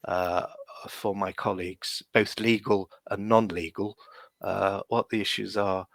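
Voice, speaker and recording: A man's voice: low voice, steady tone, unhurried, creaky, fairly bright tone, dry recording. A man, a lawyer and podcast guest, speaking on a video call from London in a reflective, hesitant way with many fillers. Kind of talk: reflective interview answers.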